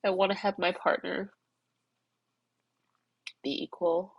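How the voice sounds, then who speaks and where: Tearful woman vlogging, low and dry. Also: little pitch movement, takes it slowly, vocal fry, slightly rough, dry recording, highs cut off. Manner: rambling, tearful, self-reflective. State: crying, congested. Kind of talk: emotional personal vlog, external processing.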